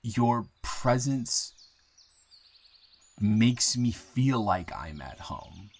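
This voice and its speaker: A young man acting an earnest, smitten guy new in town in an improvised audio roleplay, speaking intimately and haltingly with repeated words. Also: mid-range voice, animated, heavily acted. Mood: fond, sincere. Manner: halting, rambling, with repeated words and nervous chuckles.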